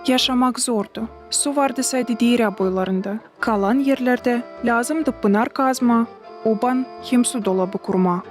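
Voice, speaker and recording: Patient storytelling mother, slightly high voice woman actor. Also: measured pace, faint music behind, natural voice. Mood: patient, instructive. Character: patient mother telling history to children in a cartoon. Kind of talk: measured educational storytelling.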